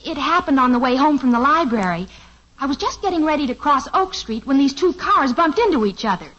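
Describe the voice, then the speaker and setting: High-voiced teen daughter, dreamy, gushing, breathless, lo-fi old radio. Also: female voice, animated, brisk, a bit bright. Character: dreamy, boy-struck teenage daughter Betty. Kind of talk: 1950s radio sitcom acting. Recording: dull lo-fi old radio transcription.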